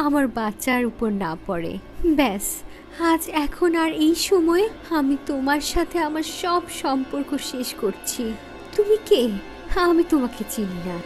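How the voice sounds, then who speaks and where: Woman acting as very high-pitched wronged young heroine. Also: darkish tone. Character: wronged young pregnant woman, bitter and proud. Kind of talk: cartoon horror story voice acting.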